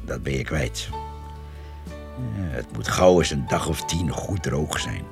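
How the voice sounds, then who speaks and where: Gravelly, very deep rural man. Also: animated, a little slow, soft background music, exaggerated voice. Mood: grumbling. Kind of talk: radio drama acting.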